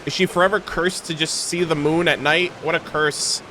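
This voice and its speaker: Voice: mid-range voice, steady tone, normal speed, noisy background. A game streamer reacting casually to a story as it plays, exclaiming in slang and swearing freely.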